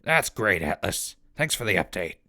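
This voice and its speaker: A man playing an easygoing ghoul who hosts a wasteland radio show, talking in calm, affable patter with a gravelly voice. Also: deep voice, moderate pace, no echo, full character voice. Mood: upbeat, interested. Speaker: radio host. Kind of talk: radio-host patter in scripted audio drama. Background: music.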